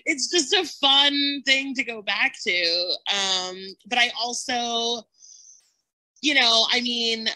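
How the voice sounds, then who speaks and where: A woman's voice: fairly high pitch, takes it slowly, bright, forceful tone, low-quality recording. A woman chatting casually on a podcast panel, effusive and rambling, peppering her sentences with "like". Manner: effusive, rambling, heavy use of "like". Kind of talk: casual podcast chat about a TV show.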